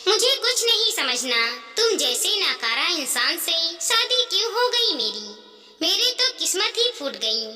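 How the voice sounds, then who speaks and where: A woman's voice: very high voice, big pitch swings, a little slow, bright, forceful tone, distant mic, faint music behind, full character voice. A woman voicing a spoiled, stubborn young wife in a moral story, complaining and defiant. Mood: angry, self-pitying. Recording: long hall-like reverb.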